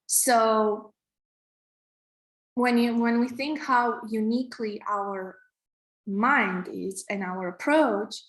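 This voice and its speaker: A woman in her thirties from Romania with a Romanian accent, teaching a live online coding class in a halting way, full of fillers and restarts. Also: mid-range voice, echoey room, poor audio. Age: 30s. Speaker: coding instructor.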